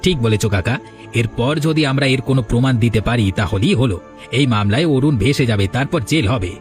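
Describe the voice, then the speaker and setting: A man's voice: medium pitch, animated, fast, faint music behind, lightly acted. A man voicing a resentful, timid villager turning on his friend in a cartoon, aggrieved and complaining. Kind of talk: Bengali cartoon dialogue dubbing.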